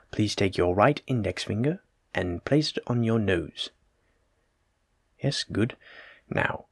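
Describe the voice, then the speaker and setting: A man's voice: mid-range voice, creaky, breathy edge, dry recording, clean studio sound, heavily acted. A man playing a courteous, learned plague doctor in a roleplay audio drama, speaking formally and reassuringly as he attends to a patient. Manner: formal, polite, reassuring, wordy. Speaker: physician examining a patient. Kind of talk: soft-spoken roleplay audio drama, addressing the listener.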